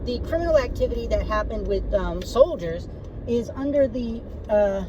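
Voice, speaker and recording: A woman's voice: fairly high pitch, lively intonation, moderate pace. A woman casually explaining history in conversation, laying out her opinions point by point, recorded inside a moving car. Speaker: history explainer answering questions. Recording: muffled, distant, loud car road noise. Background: vehicle and road noise.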